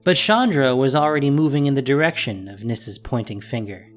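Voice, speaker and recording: A man's voice: medium pitch, lively intonation, a little fast. A man reading scripted story narration as the narrator of an audio drama. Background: music.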